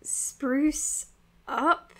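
An actress playing an anxious, caring young doctor in an audio drama, hesitant and dryly exasperated. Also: female voice, sits high, steady tone, extremely slow, sharp tone, full character voice. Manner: hesitant, flustered, dryly sarcastic. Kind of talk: naturalistic audio drama acting.

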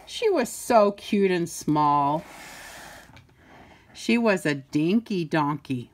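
Slow-paced older woman reading a storybook. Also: fairly low pitch, expressive. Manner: sing-song, playful, deliberate emphasis. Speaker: grandmother reading a picture book aloud. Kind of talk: storybook read-aloud.

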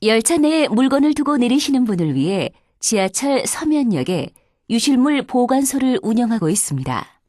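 High, lilting female subway announcer. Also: sing-song, normal speed, a little mellow, dry recording, studio quality. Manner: polite, carefully enunciated. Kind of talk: formal scripted transit announcement.

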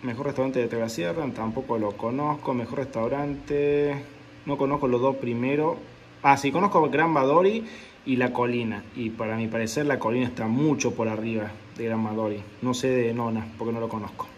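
A young man talks casually into a phone held at arm's length in a small room. His pitch stays at an ordinary middle level, and his manner is offhand and chatty. A faint hiss of background noise sits under his voice. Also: fairly even intonation, normal speed, highs cut off. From Argentina. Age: young adult. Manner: chatty, offhand, repeating that he does not know the places. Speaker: food and travel vlogger. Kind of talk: casual reaction video. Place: indoors, small room at home. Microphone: phone camera at arm's length.

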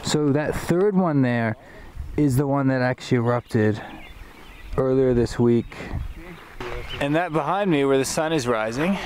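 A young man chats casually as he films himself outdoors on a handheld camera. His pitch sits in the middle range. There is obvious outdoor noise around his voice. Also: unhurried, pauses often, darkish tone. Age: young adult. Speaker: travel vlogger. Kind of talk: casual vlog talk. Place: outdoors on a windy mountainside. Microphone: handheld camera at arm's length. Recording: noisy outdoor camera audio.

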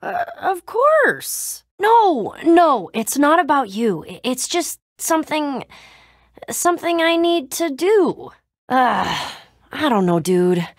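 A woman's voice: high voice, very animated, slow, dry recording, studio quality, full character voice. A woman playing a tough, snarky but loyal young female adventurer in a video game, with casual, slangy delivery. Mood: troubled, earnest. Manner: casual slangy delivery with sighs and sarcastic asides.

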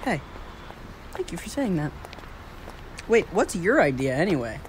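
A young American man playing a brash, excitable slacker college student in a naturalistic audio drama. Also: a little high, a little slow, slight background noise. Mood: pleading, playful. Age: young adult.

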